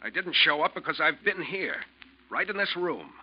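A shrewd, commanding ship's officer in a forties radio play. He speaks curtly in a somewhat high, husky voice. The old recording is dull and lo-fi. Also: sing-song, moderate pace. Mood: coolly triumphant. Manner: curt, authoritative. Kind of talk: 1940s radio drama acting.

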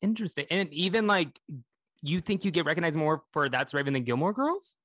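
Woman host, low voice, dry close sound, enthusiastic interviewer. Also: animated, fairly quick, no room sound. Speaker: podcast host. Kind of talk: casual interview chat.

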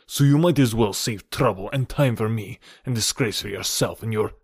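A man's voice: lowish voice, moderate pace, breathy edge, no room sound, clean studio sound. A man doing a scheming guttural villain. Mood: menacing.

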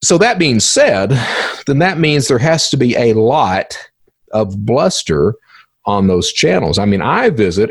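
A man's voice: medium pitch, breathy edge, no echo, studio quality. A man hosting a podcast in a casual interview style, speaking bluntly and colloquially with some swearing. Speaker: podcast host.

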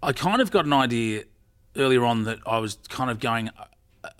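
A man's voice: medium pitch, lively intonation, moderate pace, a bit bright. A middle-aged man, telling his career story as an interview guest in a rambling, self-correcting way. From Australia. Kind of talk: casual interview talk.

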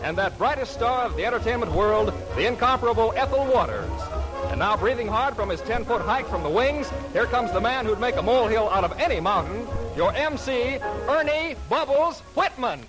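A man's voice: very high voice, lively intonation, brisk, poor audio. A man with an American accent announcing a 1940s radio show in theatrical, hip jive style. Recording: vintage 1940s radio, music behind. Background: music.

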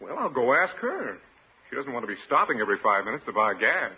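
An actor playing a wisecracking, weary young service station owner, sardonic and needling, in an old-time radio comedy. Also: male voice, medium pitch, big pitch swings, fast, slightly rough, full character voice. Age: young adult. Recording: tinny vintage radio, faint noise.

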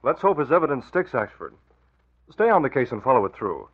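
Calm, authoritative young publisher, mid-range voice, old radio drama, band-limited recording. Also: male voice, medium pitch, fairly quick, slightly rough, narrow, tinny audio. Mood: interested. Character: composed, authoritative young male newspaper publisher. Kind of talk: 1940s radio acting.

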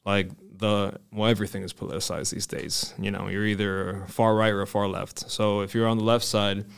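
Clear-voiced American man chatting candidly. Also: lowish voice, little pitch movement, moderate pace. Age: early 30s. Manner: candid, swears casually. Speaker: podcast host. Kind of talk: casual conversational chat.

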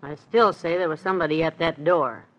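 A woman playing a sensible, patient, motherly wife in 1950s radio comedy, speaking in a matter-of-fact, gently firm way. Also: low voice, steady tone, a little fast, very noisy, lightly acted. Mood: puzzled, insistent.

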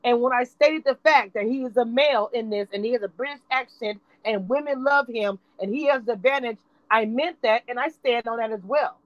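Woman host, passionate and emphatic, repeats herself, fairly high voice, dry close sound. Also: a little fast, few pauses, no room sound, lo-fi sound. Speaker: show reviewer and host. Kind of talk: live online discussion.

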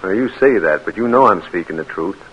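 A sneering bitter cousin. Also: male voice, low-pitched, lively intonation, brisk, husky, dull audio. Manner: sneering, accusing. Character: bitter cynical Southern cousin, man. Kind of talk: 1940s radio acting.

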